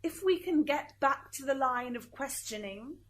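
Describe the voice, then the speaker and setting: A woman's voice: high voice, animated, measured pace. A woman playing a composed, probing courtroom lawyer in an amateur radio drama. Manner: formal, questioning.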